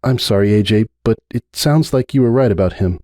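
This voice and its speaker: A man voicing a troubled young female pony who speaks earnestly and hesitantly, as if softening bad news, in a dramatic story reading. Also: on the low side, fairly quick, no room sound, studio quality, close to an everyday voice. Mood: hesitant, regretful.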